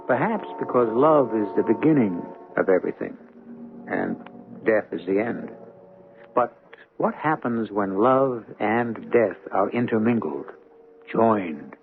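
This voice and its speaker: A man hosting a mystery radio drama, narrating in a solemn, portentous, deliberate scripted style. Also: on the low side. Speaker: host and narrator. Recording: muffled, tinny vintage radio broadcast. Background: faint music.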